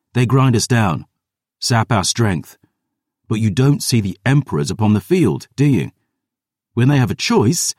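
A man doing a gruff, wrathful veteran warrior. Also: on the low side, a little mellow, dry recording, clean studio sound, pitched above the performer's own voice, heavily acted. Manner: gruff, snapping.

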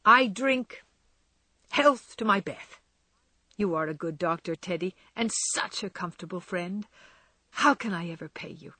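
A woman's voice: fairly low pitch, normal speed, fairly bright tone, slightly rough, no echo. A woman voicing a spirited young woman in grief, whose speech rises into emotional cries. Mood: brave.

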